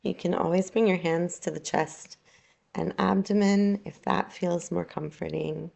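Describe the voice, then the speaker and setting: Slow, low-pitched woman guiding meditation. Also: steady tone, vocal fry. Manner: gentle, unhurried, with long pauses. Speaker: yoga nidra teacher. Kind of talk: guided meditation, slow soothing instruction.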